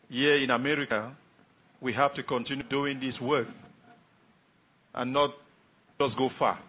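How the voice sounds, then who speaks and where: A middle-aged man from Africa whose first language is French, preaching a sermon as a visiting pastor, talking quickly with many fillers and restarts. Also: medium pitch, bright, forceful tone. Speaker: guest pastor.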